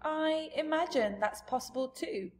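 A woman's voice: sits high, expressive, a little slow, slight background noise, voice pushed up. A woman reading a storybook aloud, voicing a frightened but spirited little girl. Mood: pleading.

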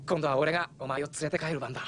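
An actor voicing a brash, hot-blooded teenage boy hero in an anime, loyal, excitable and rough-tongued. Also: mid-range voice, rapid-fire, heavy background noise, lightly acted. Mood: earnest pleading. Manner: rough slangy speech, excitable. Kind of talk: anime voice acting. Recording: loud music behind.

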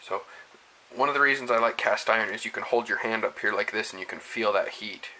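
A man's voice: lowish voice, a little fast, bright, forceful tone, a little hiss or hum, poor audio. Young man, laid-back home cook host, folksy step-by-step talk, camera mic in a home kitchen with a pan sizzling behind. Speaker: home cooking show host. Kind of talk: casual cooking demonstration. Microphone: camera mic, speaker off frame, moving around. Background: frying and sizzling pan.

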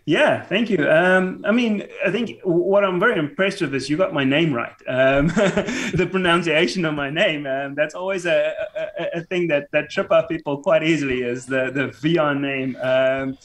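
A man's voice: on the high side, fairly bright tone. A man in his thirties from South Africa, a native Afrikaans speaker with a South African accent, talking as a podcast guest from a home room over a remote call with headphones and a mic, stumbling and restarting his sentences often. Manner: halting, stammering restarts. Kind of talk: casual podcast interview.

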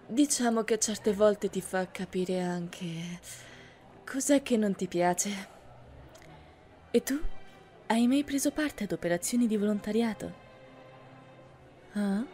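A woman's voice: medium pitch, a little mellow, close to an everyday voice. Refined, haughty rich schoolgirl, acted by a woman. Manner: formal, genteel, carefully worded. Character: refined wealthy high-school girl at a gala, polite, haughty. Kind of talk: scripted anime fan-dub roleplay. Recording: music behind the voice.

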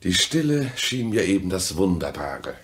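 An actor in a German radio play voicing an evasive, mistrustful husband with dry sarcasm and a clipped, deliberate delivery. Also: male voice, sits low, big pitch swings, breathy edge, heavily acted. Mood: dry, skeptical.

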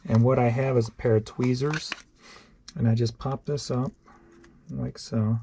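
A man's voice: medium pitch, flat delivery, unhurried, creaky, noisy background. A man, chatting casually and thinking aloud as he sorts through items for resale. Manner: rambling and offhand, thinks aloud while sorting tapes. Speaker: online reseller. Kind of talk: casual show-and-tell vlog.

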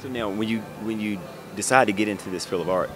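A man's voice: lowish voice, fast, over music. A middle-aged man asking questions in a casual on-location interview with a handheld microphone. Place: indoor art expo in a convention center. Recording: noisy exhibition hall background. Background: busy hall noise.

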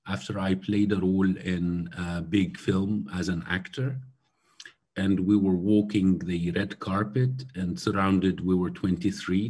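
A man's voice: sits low, a little mellow, husky. A man from Syria in his forties, giving a thoughtful keynote over a video call from a room at home, with many hesitations. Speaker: keynote speaker. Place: room at home by a glass door. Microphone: laptop webcam on video call.

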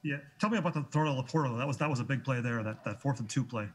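A man's voice: medium pitch, rapid-fire. A man, a sports reporter, firing long questions at a press conference.

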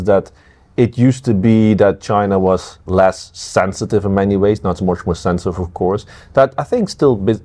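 A low-voiced man from Belgium co-hosts the interview. His questions run long and wander before landing. He is seated in a studio. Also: a little mellow. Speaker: co-host. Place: studio with city-view windows.

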